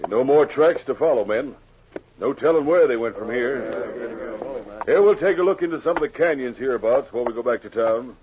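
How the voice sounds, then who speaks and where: An actor playing a gruff, practical, impatient western sheriff in a 1950s radio show, with a folksy, dismissive manner. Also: male voice, medium pitch, lively intonation, a little fast, a crowd in the background, natural voice. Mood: resigned. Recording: phone-like old radio, busy background.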